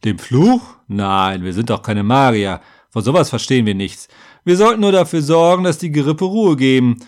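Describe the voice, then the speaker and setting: A man's voice: mid-range voice, lively intonation, normal speed, close to an everyday voice. A man voicing a muscular, womanizing elite warrior in a fantasy story, talking in a blunt, offhand, self-assured way.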